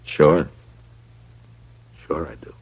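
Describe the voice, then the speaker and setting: An actor playing a laconic, wry frontier marshal in a radio western, questioning people in a terse, unhurried way. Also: male voice, no room sound. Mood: dry, gentle. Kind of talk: 1950s radio western acting. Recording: muffled vintage radio recording.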